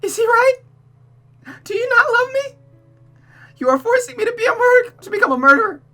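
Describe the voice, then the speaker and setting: A woman's voice: very high-pitched, sing-song, fairly quick, bright, forceful tone, soft background music, voice pushed up, full character voice. A woman voicing a desperate, sobbing young woman who pleads and stammers, read from a visual novel. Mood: anguished, crying. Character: Rosa, sobbing desperate young woman devoted to cruel Mother. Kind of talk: visual novel character reading.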